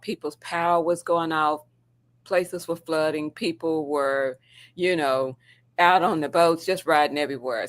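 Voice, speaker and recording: A woman from the United States co-hosting a casual morning show in an informal, storytelling way. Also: low-pitched. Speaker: talk show co-host.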